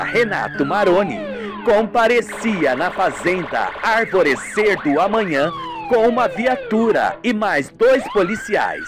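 A man narrating a scripted radio soap opera. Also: sits high.

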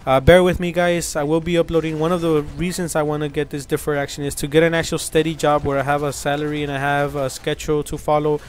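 A man's voice: on the high side, little pitch movement, fast, few pauses. A young man from Mexico, hosting his gaming channel, talking casually and earnestly over gameplay, rambling with many fillers and restarts. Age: young adult.

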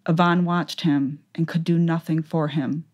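A woman narrates a fantasy story as in a dramatic audiobook. Her voice is pitched low. The delivery is scripted storytelling. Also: fairly even intonation, unhurried, a little mellow.

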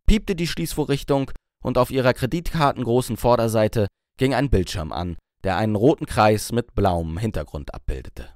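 A man's voice: medium pitch, no echo, clean studio sound. A man reading a novel aloud as scripted audiobook narration.

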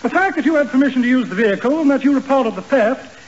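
An actor playing a pompous lawyer who spouts legal jargon, in a 1940s radio comedy. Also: male voice, very high-pitched, expressive, quick pace, husky, heavily acted. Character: pompous fast-talking small-town lawyer. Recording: muffled 1940s radio broadcast.

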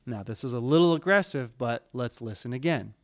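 A man in his thirties walks through studio settings in an easygoing, conversational way. His voice sits at an average pitch for a man and comes through clean on a close studio microphone in a small home studio. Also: fairly quick. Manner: relaxed, explanatory, conversational. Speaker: audio engineer teaching. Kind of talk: screen-recorded tutorial. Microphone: studio mic in frame, wearing headphones.

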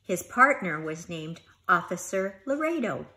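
Middle-aged lady reading a picture book to kids, bright voice, takes her time. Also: lowish voice, measured pace. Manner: expressive, teacherly. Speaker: children's storybook reader. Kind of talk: animated read-aloud of a children's story. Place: living room at home, indoors.

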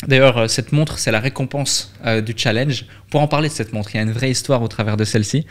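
A man's voice: medium pitch, fast. A young man from Switzerland, a guest on a podcast, telling his story casually with many fillers and restarts into a studio microphone. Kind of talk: candid storytelling interview. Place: dark podcast studio with red light. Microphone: studio mic on boom arm, headphones.

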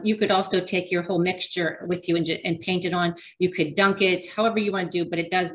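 A woman's voice: on the low side, fairly bright tone, rough recording. Chatty woman giving a cooking demo. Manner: chatty, rambling, frequent ums and restarts. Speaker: dietitian cooking instructor. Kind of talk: casual cooking demonstration.

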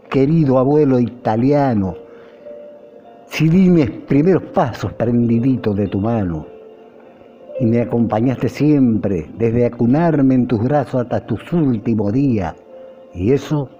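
An older Argentine man reciting a nostalgic poem in a measured, declamatory way, with phrases that rise and fall. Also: medium pitch, expressive, dark tone. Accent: Argentine Spanish. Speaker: poetry reciter. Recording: dull lo-fi recording with music. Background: music behind the voice.

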